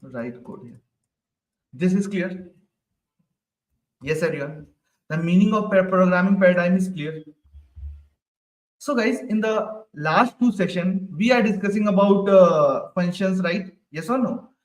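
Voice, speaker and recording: High-voiced man teaching online. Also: animated, a little slow, long pauses. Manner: repeats questions to the class, ends lines with "right?". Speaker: programming instructor. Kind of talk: live online coding class. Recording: echoey room, mic at a distance.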